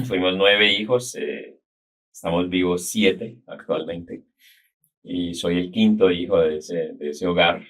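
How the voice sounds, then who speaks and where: Deep-voiced Colombian man, measured. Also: fairly bright tone. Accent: Colombian, from the Cali area. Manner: measured, reflective storyteller. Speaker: guest, business consultant. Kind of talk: casual interview talk.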